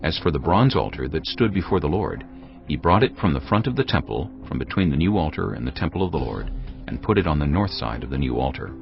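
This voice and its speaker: A male narrator reading scripture in a measured, grave storytelling manner. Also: extremely low pitch, sing-song, gravelly. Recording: dull lo-fi sound over cinematic music. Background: cinematic music.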